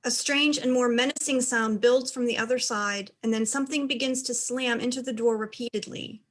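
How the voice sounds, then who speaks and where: A woman's voice: a little high, fairly even intonation, normal speed. A woman reading out stage directions as the narrator of a dramatized play reading.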